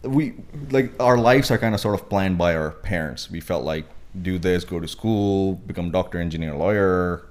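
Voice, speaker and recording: A young man in his twenties from Pakistan, with a deep, low-set voice. He chats casually as a guest, wandering through his points with lots of fillers and restarts. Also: moderate pace, few pauses. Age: 20s. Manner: rambling, many fillers and restarts. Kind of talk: casual podcast chat.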